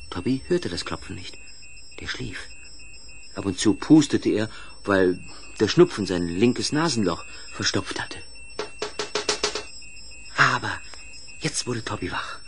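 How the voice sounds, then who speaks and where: A man narrating a children's audio play as a dramatic, deliberate storyteller with suspenseful pauses. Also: on the low side, slight background noise.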